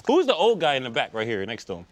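A man's voice: mid-range voice, huge pitch swings, quick pace, dry recording. A man co-hosting a podcast with casual, conversational banter. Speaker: podcast co-host.

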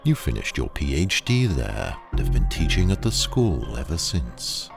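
An actor in an audio drama playing a ruthless training engineer who speaks in a deliberate, condescending, hypnotically coaxing way to a captive. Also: male voice, a bass voice, huge pitch swings, creaky, over music, heavily acted. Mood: hypnotic. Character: ruthless, sinister male training engineer, coolly brainwashing a captive.

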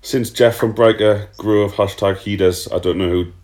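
Deep-voiced man chatting football. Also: fairly even intonation, moderate pace, a little mellow. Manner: chatty, answers listener questions, swears casually. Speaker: podcast host. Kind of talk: casual football podcast chat.

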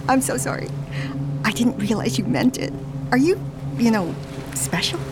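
A woman's voice: a little high, big pitch swings, normal speed. A woman acting in an audio drama as a wry, incredulous working woman who is wary of trouble. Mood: mocking. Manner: sardonic, incredulous. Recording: loud vehicle noise around the voice.

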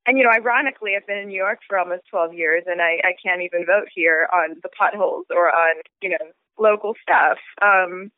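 A woman's voice: fairly low pitch, fairly quick, dry recording. Thoughtful woman, tinny phone-like sound. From Switzerland. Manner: thoughtful, frequent fillers and restarts. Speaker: author interviewed about her book. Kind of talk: casual interview.

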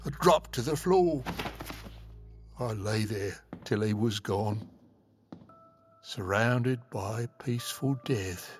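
Grave, haunted old storyteller. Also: male voice, on the low side, slow pace, long pauses. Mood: dread. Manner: grave, theatrical, emphatic storytelling. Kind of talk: dramatic audio fiction narration. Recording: music behind the voice.

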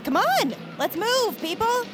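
Casting: upbeat livestreaming superfan, lively swings in pitch, brisk delivery over music. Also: female voice, full character voice. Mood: bossy, eager. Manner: brisk, perky. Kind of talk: audio drama, chirpy livestream patter.

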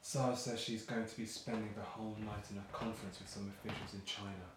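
An actor playing a weary, apologetic family man in an audio play, halting and muttering. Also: on the low side, flat delivery, close to an everyday voice. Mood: apologetic, tender. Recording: very echoey room, clear background noise.

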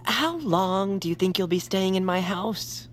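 An actress voicing a patient, practical bird friend who owns the house, speaking in a coaxing, level-headed way. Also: female voice, medium pitch, animated, measured pace, a little hiss or hum, lightly acted. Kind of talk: audio drama acting.

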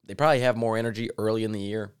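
A man hosting a casual solo podcast, chatty and excitable, his voice a little raspy from yelling. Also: fairly low pitch, racing. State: slightly raspy from yelling at a game. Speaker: podcast host. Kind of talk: solo sports podcast talk.

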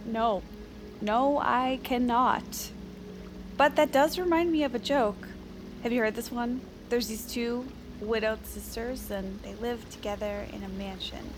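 An actress playing a wry, wistful older widow in an audio drama, speaking in a deliberate storytelling way. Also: female voice, on the high side, music in the background, lightly acted. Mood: wry, hushed.

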